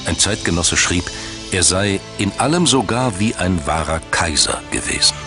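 A man's voice: sits low, animated, moderate pace. A man reading scripted documentary narration in pronunciation. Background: background music.

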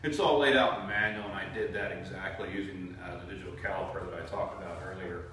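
A man's voice: sits low, steady tone, fast talker, a bit bright, a little hiss or hum. A man in his thirties giving a methodical model aircraft review to camera, with frequent fillers, in an indoor studio. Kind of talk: product review talk to camera. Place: indoor green screen studio. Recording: very echoey room, mic far off.

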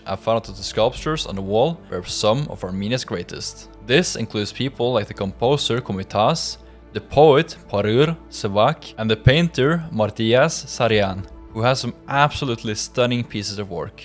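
A young man reading a scripted history voice-over for his vlog in a measured, explanatory way. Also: on the low side, expressive, faint music behind. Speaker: vlog narrator.

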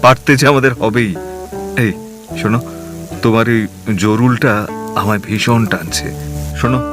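An actor playing a young, newly married husband who stammers and fusses in an audio drama. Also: male voice, mid-range voice, measured pace, music in the background, lightly acted. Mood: tender.

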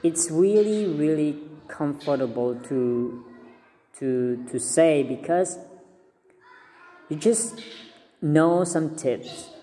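Slow, mellow Cambodian-accented young man. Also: fairly high pitch, in a large hall. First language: Khmer. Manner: earnest, repeats words and restarts often. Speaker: vlogger giving language tips. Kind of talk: casual talk to camera. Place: small indoor room. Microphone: phone at arm's length.

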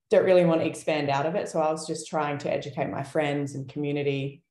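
A young woman talks in a relaxed, rambling way, full of fillers and small laughs. Her pitch stays flat and even. The video call audio carries a noticeable room echo. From Australia. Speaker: guest, wildlife activist. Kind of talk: casual panel discussion.

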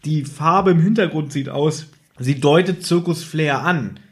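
Higher-pitched man, teasing podcast co-host. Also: measured pace. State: tired. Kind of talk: casual podcast banter.

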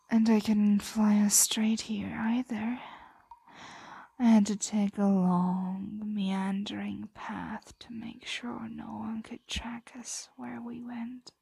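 A woman's voice: mid-range voice, vocal fry, dark tone, slightly rough, dry recording, exaggerated voice. A woman playing an ancient, possessive, doting dragon in an ASMR roleplay, whispering breathily and soothingly, close to the microphone. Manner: slow, soothing, possessive murmuring.

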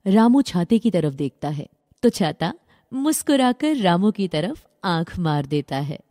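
Woman reading a kids story, soft mellow voice, really animated, close dry sound. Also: lowish voice, no echo. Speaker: narrator. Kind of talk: scripted children's story narration.

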